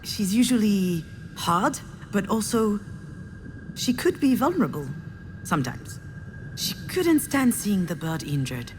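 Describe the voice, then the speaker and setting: An actress playing the troubled, wry adult daughter of an artist, speaking introspective, dramatic dialogue in a video game. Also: female voice, mid-range voice, big pitch swings, unhurried, noisy background. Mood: bittersweet, pensive. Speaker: video game protagonist and narrator. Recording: music behind the voice.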